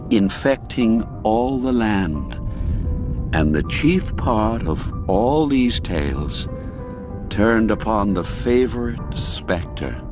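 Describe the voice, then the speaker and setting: A man reading a classic folk mystery tale as a storyteller, in a measured and expressive way. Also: fairly low pitch. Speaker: story narrator.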